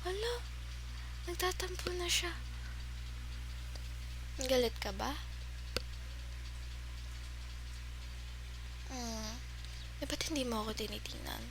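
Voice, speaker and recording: Higher-pitched, teasing Filipino gamer girlfriend played by a woman. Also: expressive, measured pace, pauses often, background noise. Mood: soft, coaxing. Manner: teasing, giggly, sighing, mixes English into Tagalog. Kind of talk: ASMR girlfriend roleplay.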